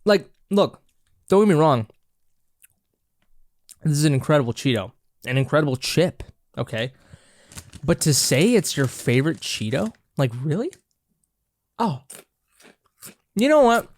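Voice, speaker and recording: A man's voice: on the high side, big pitch swings, unhurried, pauses often, a little mellow. A congested man hosting a podcast, chatting casually and rambling with many restarts while he snacks. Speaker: podcast host. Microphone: own camera and mic, close and dry.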